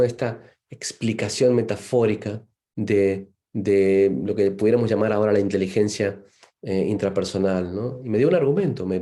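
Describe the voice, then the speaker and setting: A man's voice: on the low side, mellow. A man from Argentina with an Argentine accent, giving a seminar lecture as a psychologist, thoughtful and full of fillers and "¿no?" tags. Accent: Argentine Spanish.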